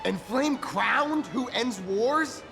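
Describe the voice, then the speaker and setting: Shrill, creaky, bitter malcontent. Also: male voice, animated, slow, over music, heavily acted. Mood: scornful. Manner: scornful, agitated. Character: bitter, sneering, paranoid malcontent railing at a tyrant. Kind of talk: video game character acting.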